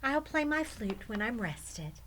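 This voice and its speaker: A woman's voice: on the high side, very animated, a little slow, slight background noise, rough recording. A woman voicing a clever female turtle in a folktale, coaxing and persuasive. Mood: tired, reassuring.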